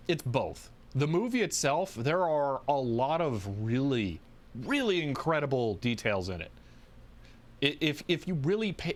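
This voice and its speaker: A man hosting a livestream, chatting casually and thinking aloud in a halting way as he answers viewers. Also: medium pitch. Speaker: livestream host.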